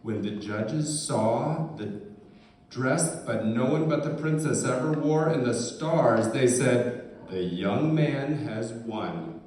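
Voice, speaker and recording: Guy slowly reading a fairy tale for a puppet show, far from mic, big echo. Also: medium pitch, lively intonation, a little mellow. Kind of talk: scripted fairy-tale narration. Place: indoor puppet show performance, distant mic. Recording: echoey hall, far mic, faint noise.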